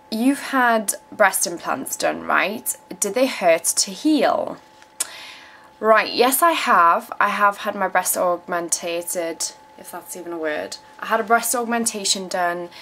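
A woman's voice: medium pitch, a bit bright. Frank young woman chatting casually. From South Africa, moved to England in her teens. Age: 20s. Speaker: vlogger. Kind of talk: casual chat answering viewer questions. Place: small room at home, indoors. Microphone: camera mic at about arm's length.

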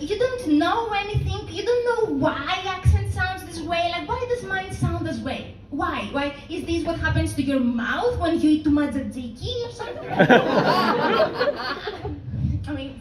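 A woman's voice: very high voice, animated, unhurried, echoey room, slight background noise. A young Greek woman with a strong Greek accent doing a live stand-up set, self-mocking and laughing at her own lines. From Greece. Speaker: stand-up comedian. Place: comedy club stage with audience. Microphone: handheld stage mic. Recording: raw live room recording from far off. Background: loud audience laughter.

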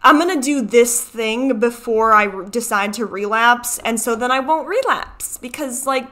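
A woman's voice: fairly high pitch, moderate pace, sharp tone. A young woman with a Chicago American accent, giving casual reaction commentary in a rambling, self-interrupting way. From Chicago, United States. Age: young adult. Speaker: video host. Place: small furnished room at home. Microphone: desk microphone, seen at frame edge.

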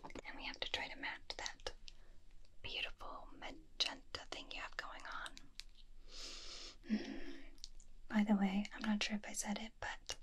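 Whispering young woman, slow ASMR roleplay. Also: mid-range voice, flat delivery. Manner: soft, slow, doting, breathy whisper. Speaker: ASMR performer. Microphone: close binaural microphone.

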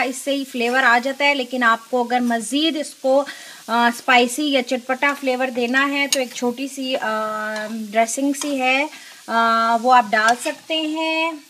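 A woman hosting a cooking show, giving unhurried step-by-step instructions in a home kitchen with frequent filler pauses. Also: high voice, steady tone, pushed and bright, a little hiss or hum.

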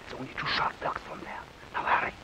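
Actor as a quick, hushed helper, whispering urgent instructions; noisy old film sound. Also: male voice, natural voice.

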